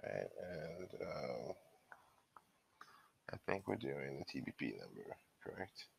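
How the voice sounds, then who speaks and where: A man giving an informal step-by-step software walkthrough as a trainer, explaining and thinking aloud as he goes. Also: very deep voice, sing-song, slow pace, gravelly, slightly rough.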